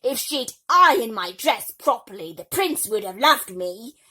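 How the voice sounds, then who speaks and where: A woman voicing a jealous, spoilt young stepsister in a storybook, whining and wailing in drawn-out tantrums. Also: on the high side, lively intonation, pushed and bright, heavily acted.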